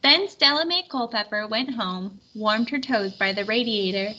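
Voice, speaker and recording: A woman reading a children's picture book aloud with expressive storytelling. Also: fairly high pitch, moderate pace, dull audio, slight background noise. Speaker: storybook reader.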